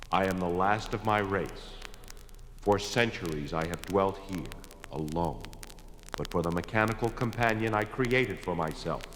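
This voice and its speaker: An actor playing an ancient, sorrowful alien creature, stately and formal, with a booming reverb effect, in a 1970s audio drama. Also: male voice, a bit bright, a little hiss or hum. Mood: grave, mournful. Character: ancient, solemn, sorrowful alien monster, last of its race. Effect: booming reverb for a telepathic voice. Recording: echoing long reverb.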